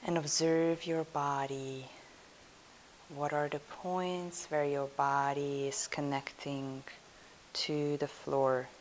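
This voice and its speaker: A woman leading a guided yoga nidra meditation as a teacher, in a soothing, hushed, unhurried manner. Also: low voice. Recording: steady hiss.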